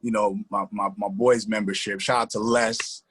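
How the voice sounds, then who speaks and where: A man speaks in a fairly low, raspy voice. He reminisces in loose, colloquial language, circling back over phrases. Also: flat delivery, moderate pace. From New Jersey. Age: middle-aged. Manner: colloquial, repeats phrases, gives shout-outs. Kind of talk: casual reminiscing.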